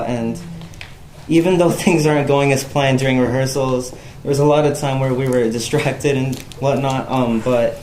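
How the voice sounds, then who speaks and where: A young man giving a casual, halting thank-you speech in an echoey room with a few people around. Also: mid-range voice, darkish tone. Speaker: student theatre member giving thanks. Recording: echoey room, faint crowd and noise.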